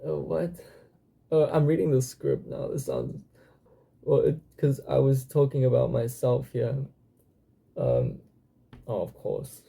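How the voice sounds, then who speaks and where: A young South African man in his twenties, speaking in a dark, gravelly voice with a husky edge. He talks to camera haltingly, full of ums and restarts, with the odd curse thrown in. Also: mid-range voice, very animated. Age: 20s. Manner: hesitant, many fillers and restarts, occasional swearing. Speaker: channel host. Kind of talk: casual personal vlog.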